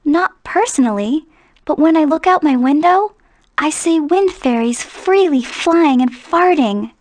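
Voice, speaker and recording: High, polite young fantasy maiden. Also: female voice, animated, unhurried, a little mellow, no room sound. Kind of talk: scripted game dialogue, studio outtakes.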